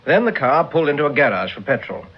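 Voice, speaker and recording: An actor with a British accent playing a dutiful, deferential police inspector in a vintage radio drama. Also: male voice, medium pitch, sing-song, fast. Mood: earnest, reporting. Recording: dull old radio drama recording.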